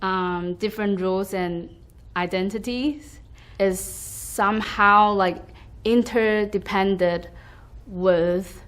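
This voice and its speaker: A young woman from Taiwan speaks at an unhurried, careful pace, pausing often with soft fillers. Her voice sits in a middle register as she reflects on identity, sounding hesitant and thoughtful. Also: mid-range voice, fairly even intonation, clear, resonant tone. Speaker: school staff member. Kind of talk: reflective personal testimony.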